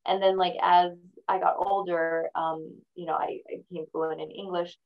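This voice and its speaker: Thoughtful woman guest, low voice. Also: level tone, moderate pace, muffled recording. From born in China, raised in the United States. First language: Mandarin. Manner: thoughtful, chatty, laughs often. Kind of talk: casual interview conversation.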